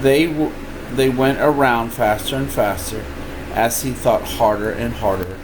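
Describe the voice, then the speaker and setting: An older man, a grandfather, reading a picture book aloud in an expressive, informal way with playful asides. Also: medium pitch, measured pace. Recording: steady room noise, air conditioning hum.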